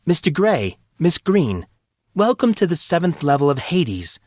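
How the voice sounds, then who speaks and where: A man's voice: on the high side, very animated, a little slow, darkish tone, no room sound, clean studio sound, pitched above the performer's own voice. A man voicing a sardonic male character in a narrated fantasy story. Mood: sardonic welcome.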